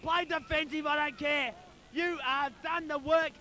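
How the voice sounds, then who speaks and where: High, strained Australian man commentating on football. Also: a little slow. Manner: excited, urging players on, colloquial. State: losing his voice from shouting. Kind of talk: partisan live sports commentary.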